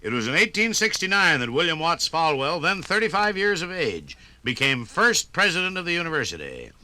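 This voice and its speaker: A man's voice: a little high, pushed and bright, no echo. An American man announcing and narrating in a scripted 1950s radio style. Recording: vintage 1950s radio recording.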